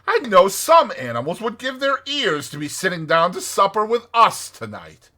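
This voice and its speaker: Man doing a high, hearty storybook rat. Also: huge pitch swings, sharp tone, exaggerated voice. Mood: jolly, teasing. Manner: exclamatory, brisk old-chap chumminess. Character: hearty, bossy, cheerful rat, a loyal friend.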